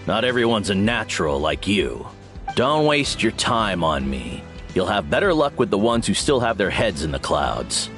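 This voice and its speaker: A carefree young male slacker in a fantasy game. He is laid-back and self-deprecating, a bit low but lively in pitch, with music clearly behind him. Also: moderate pace. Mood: dismissive, resigned. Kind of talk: video game voice acting.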